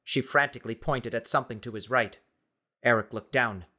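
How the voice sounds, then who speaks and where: Male audiobook narrator, mid-pitched. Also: a little fast, sharp tone. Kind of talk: scripted dramatic narration.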